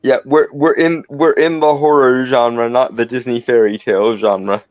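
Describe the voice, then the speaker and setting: A man's voice: fairly even intonation, moderate pace, crowd noise. A man on a roleplay panel chatting casually, dropping dry, teasing asides. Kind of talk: casual chat. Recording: dry, dull low-fidelity sound.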